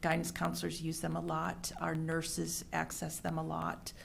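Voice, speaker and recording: A woman's voice: low voice, monotone, moderate pace, gravelly, a little hiss or hum. A woman school administrator presenting at a meeting, enthusiastic and talking with many fillers.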